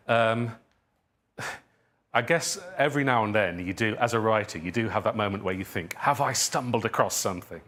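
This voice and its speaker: A man answering audience questions as a writer at a talk, thinking aloud in a halting, self-deprecating way. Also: on the low side, a bit bright. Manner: reflective, halting, hedging with self-deprecating asides. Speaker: guest speaker, writer and dramatist.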